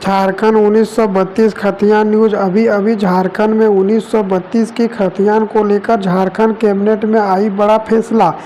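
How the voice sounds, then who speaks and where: A woman's voice: mid-range voice, level tone, moderate pace, few pauses, dark tone, a little hiss or hum, rough recording. A woman reading scripted news narration for an online video in a repetitive, formulaic announcing manner.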